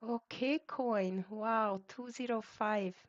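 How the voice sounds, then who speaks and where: A woman's voice: fairly high pitch, fairly even intonation, slow. A woman with a Hungarian accent, hosting a live trading stream and calling out stock tickers in clipped bursts with fillers. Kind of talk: live market commentary. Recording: dull lo-fi stream audio.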